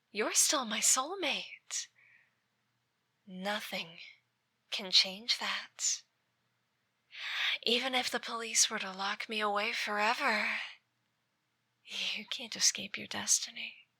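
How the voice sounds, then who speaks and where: Woman acting as a slow, bright-voiced obsessive stalker ex-girlfriend. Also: medium pitch, dry recording, full character voice. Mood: possessive, ominous. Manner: slow, menacingly sweet, slipping into breathy whispers. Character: obsessive yandere ex-girlfriend stalking her former lover. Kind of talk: ASMR roleplay, scripted monologue.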